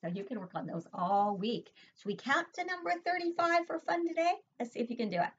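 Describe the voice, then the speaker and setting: A woman's voice: a little high, lively intonation, brisk, slight background noise. An older woman, a preschool teacher, giving a sing-song online lesson from home and asking the children questions. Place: home room, indoors. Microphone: webcam laptop mic. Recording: dull lo-fi webcam audio.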